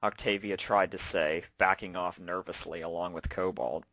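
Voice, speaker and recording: A man's voice: sits low, steady tone, normal speed, a bit bright, no echo. A man reading out a story as its narrator, in a scripted storytelling style. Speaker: narrator and fan fiction author.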